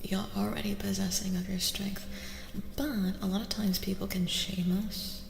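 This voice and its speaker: A woman doing a soft-spoken ASMR tarot reading as a streamer, breathy and often near a whisper, soothing and affirming, gently repeating her phrases. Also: mid-range voice, slight background noise. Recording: echoey reverb with music behind. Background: music.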